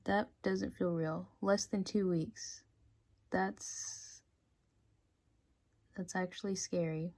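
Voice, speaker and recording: A woman in her twenties chatting casually in a personal vlog, full of "like" and "um", sometimes dropping to a soft, hushed tone. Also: on the low side, steady tone, slow pace, long pauses, dry recording, clean studio sound. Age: 20s. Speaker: vlogger. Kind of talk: casual confessional vlog chat.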